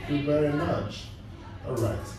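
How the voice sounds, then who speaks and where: A man's voice: a little high, moderate pace. A man in his thirties giving a spoken English test as a teacher, putting short, simple questions and repeating them to prompt. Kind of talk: oral exam questioning, slow and simple classroom speech. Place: small indoor test room. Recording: echoey room, distant mic, noisy.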